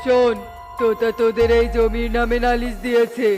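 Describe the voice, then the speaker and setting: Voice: mid-range voice, monotone, normal speed, lightly acted. A performer voicing a scheming, threatening village-chief eagle in a bossy, menacing manner for a cartoon. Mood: smug menace. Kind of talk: Bengali cartoon dialogue. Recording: loud music bed under voice. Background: music.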